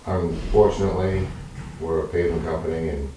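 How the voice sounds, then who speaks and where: Low-voiced plainspoken man, echoey room. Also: fairly even intonation, warm tone, a little hiss or hum. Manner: plainspoken, halting. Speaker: town road worker. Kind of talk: casual meeting talk. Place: town meeting hall. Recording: echoey room, far from the mic.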